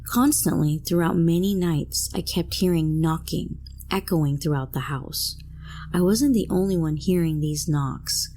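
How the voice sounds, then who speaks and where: A woman's voice: low-pitched, measured pace, mellow. A woman reading a scripted first-person horror story as a narrator.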